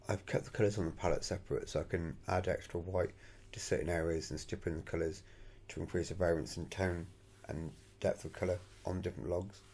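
A man's voice: sits low, fairly even intonation, a little fast, darkish tone, breathy edge. A man narrating a voice-over for his scale model painting tutorial in a plain, matter-of-fact way, thinking aloud as he goes.

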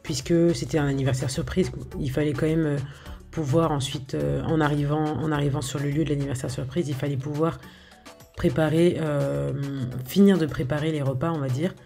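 A woman's voice: low-pitched, fairly even intonation, normal speed, creaky, dark tone, faint music behind. A woman talking casually over a video of her home cooking, hesitant, with lots of filler sounds and restarts. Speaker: home cook showing her recipes.

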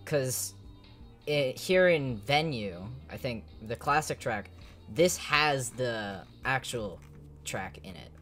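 A young man streams a video game from home, reacting live in casual slang. He is excitable and swears freely. His words come out slowly and drawn out. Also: medium pitch, soft background music. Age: young adult. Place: indoors, small home room.

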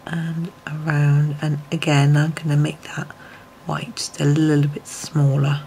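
A woman, a little croaky, gently talking through a colouring tutorial at her desk, often dropping to a near whisper. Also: sits low, level tone, measured pace, a little hiss or hum. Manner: soft-spoken, often drops to a near whisper. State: slightly croaky voice. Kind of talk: relaxed step-by-step tutorial. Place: home desk, filmed from above.

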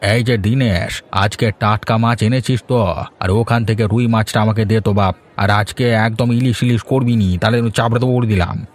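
A man playing a blustering, suspicious market customer in a cartoon, with a Bengali accent, a husky voice and a gruff, scolding manner. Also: on the low side, steady tone, moderate pace, close to an everyday voice. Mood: gruff, incredulous. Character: blustering, suspicious Bengali husband shopping for fish. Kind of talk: cartoon voice acting.

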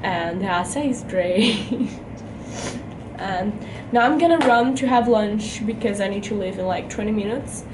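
A woman's voice: mid-range voice, measured pace, noisy background. A young woman from Brazil with a Brazilian Portuguese accent, chatting casually to camera in a hotel room about her trip and filling her talk with "like". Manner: chatty, frequent "like" fillers. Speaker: vlogger. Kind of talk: casual travel vlog to camera. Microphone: camera at arm's length.

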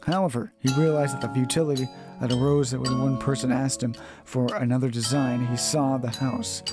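A man's voice: mid-range voice, fairly even intonation, moderate pace, music in the background. A man reading a visual novel aloud as dramatic narration, often stumbling and rereading his lines.

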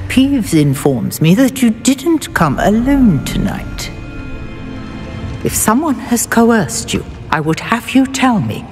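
High-voiced, stern elderly school matron. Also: female voice, a little mellow. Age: older. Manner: severe, deliberate. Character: stern elderly female school librarian, scolding but caring. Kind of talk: cinematic fantasy game acting. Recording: long hall reverb, music behind.

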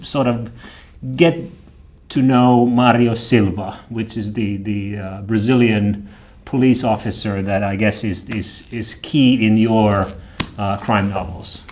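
A middle-aged man hosting an interview, speaking hesitantly with many pauses and restarts, in a small studio on a lapel mic. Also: lowish voice, fairly even intonation, darkish tone. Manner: hesitant, full of fillers and restarts, laughs easily. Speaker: interviewer host. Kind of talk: casual interview talk. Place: small studio with red curtain.

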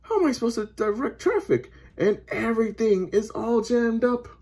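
Lady doing a flustered storybook cop guy, raised pitch, loud, complaining, fed up. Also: lively intonation, moderate pace, slight background noise. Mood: exasperated. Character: flustered, exasperated male policeman in a children's storybook.

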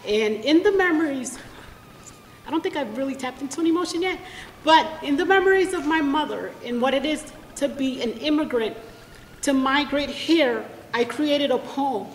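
Casting: bilingual woman, high voice, heartfelt, at a podium mic in an echoey hall. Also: lively intonation, normal speed, a little hiss or hum. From United States. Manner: bilingual, switching between English and Spanish, heartfelt. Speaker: poet reading at a library event. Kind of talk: spoken introduction to a poetry reading. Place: library event room. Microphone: podium microphone. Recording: echoey room, long reverb.

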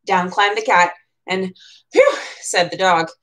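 A woman's voice: on the low side, fairly even intonation, unhurried, a bit bright. A young adult woman reading children's picture books aloud in a playfully dramatic way, with chatty asides, recorded in a small room at home.

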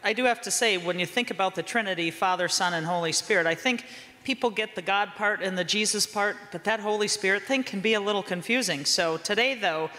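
A woman with a loud voice leading a children's story, upbeat and encouraging, in a large church sanctuary. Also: mid-range voice, brisk. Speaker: children's ministry leader. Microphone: handheld mic. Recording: reverberant church hall.

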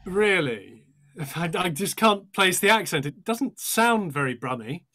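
Amiable high-voiced classmate. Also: male voice, animated, unhurried, bright, forceful tone, heavily acted. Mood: friendly, puzzled.

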